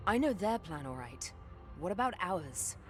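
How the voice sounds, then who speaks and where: A woman's voice: fairly low pitch, big pitch swings, unhurried. An actress playing a stern, bitter warrior woman in a fantasy video game, speaking tersely and solemnly. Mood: resolute. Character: stern, bitter, determined warrior woman, former Republican soldier. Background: game music.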